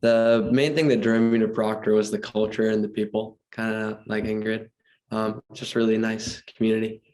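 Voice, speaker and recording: Monotone teen boy from New Hampshire. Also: on the low side, normal speed, low-quality recording. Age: teens. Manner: brief, plain statements. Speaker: student panelist.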